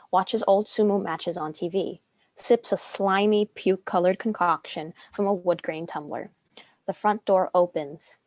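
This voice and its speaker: A woman's voice: on the low side, darkish tone, no echo. A young woman reading screenplay stage directions as a narrator. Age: young adult.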